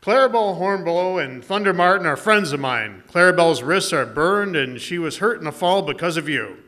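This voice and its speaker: A man's voice: fairly high pitch, expressive, moderate pace, sharp tone. A man playing a heroic, steadfast masked lawman in an old-time Western radio drama, speaking with firm authority. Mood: commanding, stern.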